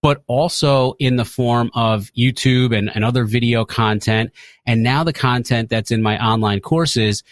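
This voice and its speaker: A man of average pitch shares a personal story in an earnest way. He explains things in long, linked sentences like a teacher, and the sound is very dry with no room on it. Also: no room sound. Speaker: educator and podcaster. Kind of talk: personal story sent as a voice message.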